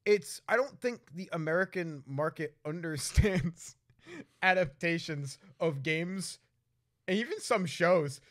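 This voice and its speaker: Blunt man with a higher voice. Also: expressive, takes it slowly, a bit bright. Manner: blunt, sweary, interjecting. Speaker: co-host of a film discussion show. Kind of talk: casual chat.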